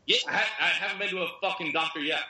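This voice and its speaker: A man's voice: a little high, fast, roomy sound, background noise. A young man with a nasal voice, rambling through profane, jokey stories in casual podcast chat. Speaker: podcast co-host.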